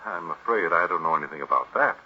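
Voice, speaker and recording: An actor playing an earnest, formal family doctor in a 1940s radio drama, deferential but pleading. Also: male voice, low-pitched, lively intonation, quick pace, breathy edge. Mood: polite, curious. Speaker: physician. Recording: muffled vintage radio drama recording.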